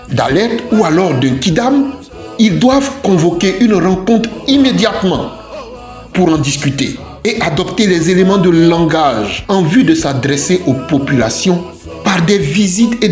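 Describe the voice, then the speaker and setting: Man, highish animated voice, emphatic scripted commentary, repetitive list-like phrasing. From Côte d'Ivoire. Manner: emphatic, declarative, repeats phrases in lists. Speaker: civic commentator. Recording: roomy echoing voice track with music. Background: music.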